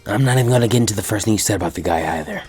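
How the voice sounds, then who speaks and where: A weary teenage strategist, as a man plays him, low-voiced and muttering his complaints. He still talks briskly, with soft music behind this storybook reading. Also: close to an everyday voice. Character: lazy, grumbling teenage boy strategist. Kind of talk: fanfic audiobook reading.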